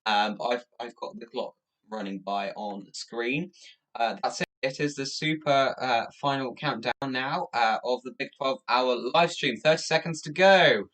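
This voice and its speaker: A young man from Latvia, a native Russian speaker, chatting casually as a livestream host in a rambling, halting way. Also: mid-range voice, a bit bright, low-quality recording. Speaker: livestream host and youth charity campaigner. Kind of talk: casual livestream chat, thanking viewers.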